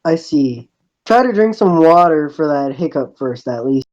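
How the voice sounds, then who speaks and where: A man with a mellow, unforced voice reads story narration and dialogue aloud in an amateur way. His delivery halts and restarts. The microphone sounds a little dull. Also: fairly high pitch, lively intonation, a little slow. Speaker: reader voicing the protagonist of a fan visual novel. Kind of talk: amateur dramatic reading of first-person narration and dialogue.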